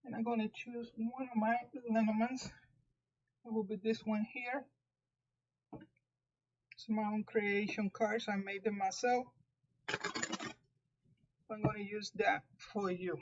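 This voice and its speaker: A woman's voice: medium pitch, little pitch movement, slow, long pauses, muffled recording. A woman with a Puerto Rican accent, a native Spanish speaker, giving a live tarot reading, talking carefully with frequent restarts. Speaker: tarot reader.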